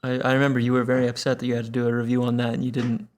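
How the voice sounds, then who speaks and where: A man's voice: medium pitch, completely flat delivery, rapid-fire. A young man speaking casually as a co-host, putting in short conversational replies. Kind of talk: casual chat, short interjections.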